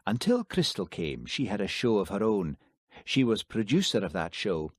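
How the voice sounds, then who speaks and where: A quiet, shrewd country constable who speaks in a calm, measured way, as a male reader puts him on. The voice is low, dry and close. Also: no room sound.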